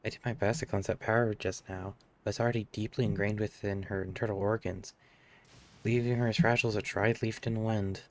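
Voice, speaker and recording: Low-voiced man streaming a game. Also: fairly even intonation, brisk. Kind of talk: live game playthrough, reading dialogue aloud and commenting. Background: game music.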